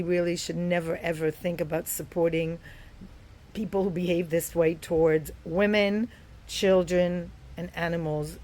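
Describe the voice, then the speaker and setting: A woman's voice: lowish voice, a little mellow. Woman vlogging with emphatic repetition. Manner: emphatic, repeats words for stress. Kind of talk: opinion vlog.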